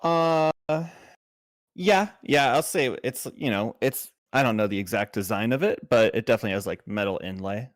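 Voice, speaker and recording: A man's voice: on the low side, moderate pace, dry recording, clean studio sound. A man chatting casually as a player at a tabletop game, with a playful, wry manner.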